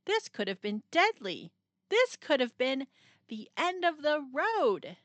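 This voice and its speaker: A woman narrating a children's picture book aloud in a dramatic, expressive storytelling manner with suspenseful pauses. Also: high voice, dry recording.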